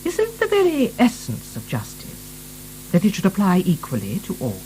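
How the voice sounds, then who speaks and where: A woman's voice: mid-range voice, huge pitch swings, normal speed, dark tone, rough recording. A woman acting in a radio drama as an ageing, manipulative aristocratic mother-in-law with theatrical, sanctimonious wounded dignity. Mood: pious, resigned. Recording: faint hiss, 1990 radio broadcast.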